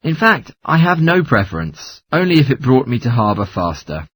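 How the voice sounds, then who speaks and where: A man's voice: mid-range voice, sing-song, normal speed, fairly bright tone, breathy edge, no room sound. A man playing a polite caller asking for travel information in a scripted language-test dialogue. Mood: polite, inquiring.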